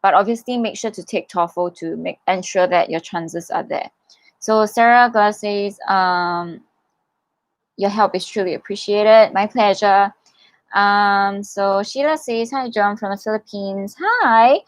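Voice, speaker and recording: A young woman chats through a livestream Q&A in a mid-pitched voice, reading out viewer questions and answering them with encouragement. She talks casually and fills the gaps with "um"s and restarts. The sound is close and dry, with no room echo. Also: a little slow. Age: young adult. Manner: chatty and encouraging, many fillers and self-corrections.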